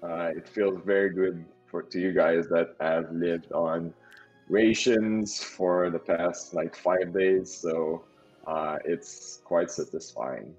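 A man with a French Canadian accent running a tabletop game on a video call, halting with many fillers. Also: low voice, fairly even intonation, a little mellow, dull audio, faint music behind. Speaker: game master.

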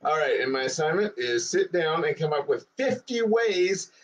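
A man's voice: a little high, big pitch swings, normal speed. A middle-aged man joining a home video stream, speaking plainly and confidently from off to the side of the camera. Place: home office, small room indoors. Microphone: webcam across the room.

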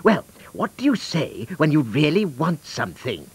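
A man's voice: a little high, very animated, normal speed, slightly rough, pitched above the performer's own voice, exaggerated voice. A man voicing a flustered, earnest male magician in a children's storybook. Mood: coaxing.

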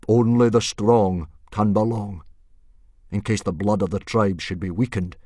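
A man voicing a grim middle-aged Viking chief who makes solemn pronouncements in a booming voice. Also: fairly even intonation, measured pace, mellow, no echo, studio quality. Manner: bellowing, grim and formal.

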